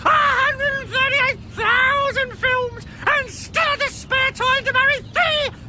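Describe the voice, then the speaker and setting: A man voicing a nosy middle-aged housewife gossip in a radio comedy sketch, shrill and emphatic. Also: steady tone, slow, bright, forceful tone, full character voice. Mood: shrill, opinionated. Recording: clear background noise.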